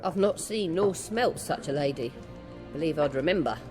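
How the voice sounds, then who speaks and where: An actress playing a brisk, welcoming village innkeeper in a video game cutscene, polite and matter-of-fact. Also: female voice, low voice, lively intonation. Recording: game audio with music behind.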